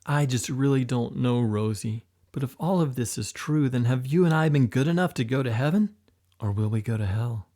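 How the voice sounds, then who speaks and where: A man voicing an emotional special agent in an audiobook, tender and frightened by turns, talking higher than his narration. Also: moderate pace, mellow, close to an everyday voice. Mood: fearful.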